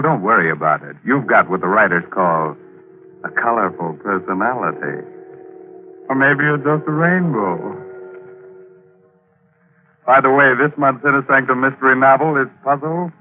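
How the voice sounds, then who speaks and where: An actor playing a mocking, darkly playful horror host on 1940s radio, teasing and sardonic. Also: male voice, on the low side, close to an everyday voice. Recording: dull 1940s radio recording. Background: music.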